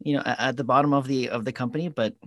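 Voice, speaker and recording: A man's voice: mid-range voice, steady tone, very fast, dry recording. A man hosting a podcast, moving between scripted introduction and conversational interviewing. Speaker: podcast host.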